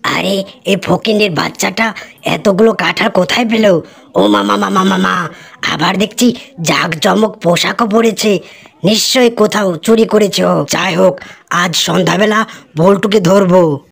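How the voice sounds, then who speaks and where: Woman doing a greedy tigress lady, gravelly, coarse and bossy, cartoon. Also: mid-range voice, unhurried. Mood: scornful.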